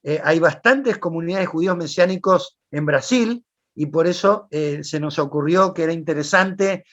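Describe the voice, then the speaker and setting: A man hosting an online talk, relaxed and unhurried, with frequent "este" fillers. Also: a little high, lo-fi sound. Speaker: host.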